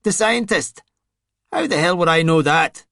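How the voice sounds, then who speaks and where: A man's voice: a little high, expressive, unhurried, fairly bright tone, no room sound, clean studio sound, voice pushed up. A man voicing a brutish male sorcerer thug who taunts and threatens in a contemptuous tone. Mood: scornful.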